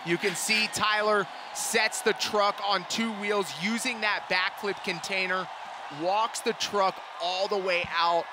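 A man working as a color commentator at a live motorsport event, breaking down replays and reacting excitedly. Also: high voice, slow, a crowd in the background, background noise. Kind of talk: arena sports commentary. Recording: commentary over loud arena music.

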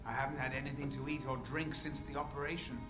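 A man's voice: mid-range voice, steady tone, fast, close to an everyday voice. An actor playing a brash, bitter metal-man villain in a cartoon. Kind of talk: cartoon voice acting. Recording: cartoon playback with loud music underneath.

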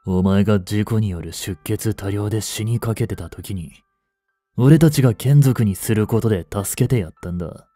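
A man's voice: fairly low pitch, moderate pace, mellow, dry recording, faint music behind, natural voice. An actor playing a blunt, bossy vampire older brother in a Japanese romance voice drama, scolding as he holds back his hunger. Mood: irritated, scolding. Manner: blunt, rough-spoken, bossy.

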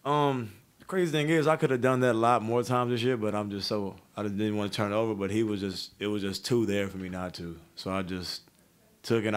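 A man's voice: fairly low pitch, clear, resonant tone. A young man, a college basketball player, answering reporters at a press conference in a laid-back, easygoing way. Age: young adult.

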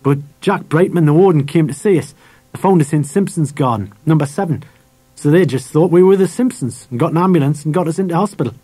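A man voicing an angry, scornful working-class father in a storybook, gruff and scolding with colloquial swearing. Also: a little high, fast, mellow, dry recording, voice pushed up. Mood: explaining.

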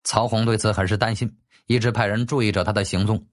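A man's voice: on the low side, steady tone, quick pace. A man narrating a scripted story as a dramatic audiobook storyteller.

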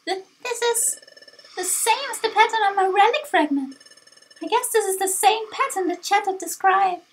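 A woman's voice: extremely high pitch, moderate pace, low-quality recording. A woman voicing an eager young creature explorer in a video game, full of exclamations. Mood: excited, awed.

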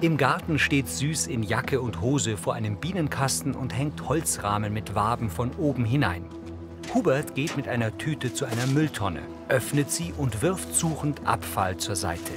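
A man narrates the action of a drama in short scripted present-tense sentences. His delivery is measured and matter-of-fact, at an average pitch, with music clearly audible behind him. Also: lively intonation. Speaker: audio description narrator.

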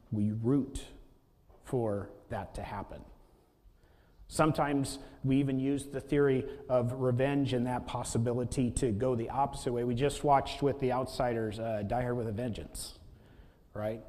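A man in his thirties preaches to his congregation in a loose, talky way, often asking rhetorical questions and voicing the thoughts people keep to themselves. His voice sits at an ordinary middle pitch. The sound carries the long reverb of a church sanctuary, picked up by a camera across the room. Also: darkish tone. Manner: conversational preaching, rhetorical questions, acted-out inner voice. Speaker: pastor. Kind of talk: sermon.

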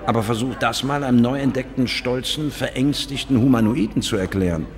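An actor playing a confident, worldly biologist in a science fiction radio drama, dry and explanatory. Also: male voice, medium pitch, fairly quick, some chatter behind, close to an everyday voice. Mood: wry, emphatic. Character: confident, worldly male biologist and space investigator. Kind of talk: radio drama dialogue and first-person narration. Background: music.

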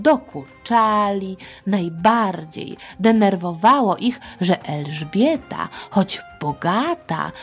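Slow-paced, playful woman storyteller. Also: on the low side. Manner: playful, colloquial, expressive. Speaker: children's history podcast host. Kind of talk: informal scripted storytelling for children.

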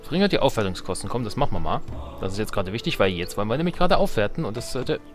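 Low-voiced man commentating a game. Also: big pitch swings, rapid-fire, faint music behind. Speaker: let's player. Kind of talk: casual off-the-cuff gameplay commentary.

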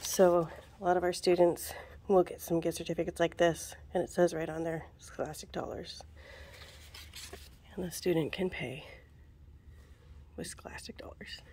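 A woman casually walking through book fair checkout steps at a school register, chatty, with ums and quick asides, recorded on a handheld phone. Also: on the low side, a little mellow. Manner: chatty, with frequent ums, asides and self-corrections. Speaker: book fair volunteer trainer. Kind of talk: casual how-to walkthrough. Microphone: phone camera held over the register.

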